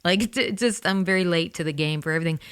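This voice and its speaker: A woman in her forties, chatty and animated as she hosts an easygoing interview. Her voice sits a touch low for a woman, and the recording is close and dry, with no room sound around her. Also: fast, clear, resonant tone, dry recording. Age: 40s. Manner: chatty, animated, swears casually. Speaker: podcast host and singer. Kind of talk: casual interview chat.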